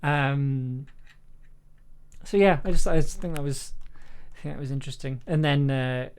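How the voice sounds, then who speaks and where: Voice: medium pitch, a little mellow, clear, resonant tone. Stammering, laughing livestream host in casual chat. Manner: hesitant, stammering over repeated words, quick to laugh. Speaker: audio drama writer and composer, host of livestream.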